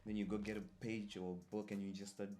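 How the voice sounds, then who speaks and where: A young man from Zimbabwe speaks as an interview guest in a very low, deep voice. He talks in a soft-spoken, relaxed, easygoing way. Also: fairly quick. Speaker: guest artist. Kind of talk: casual interview.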